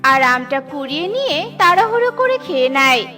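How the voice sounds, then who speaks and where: High-voiced woman narrating a children's cartoon. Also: very animated, fast talker, bright, forceful tone, faint music behind. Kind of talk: scripted children's story narration.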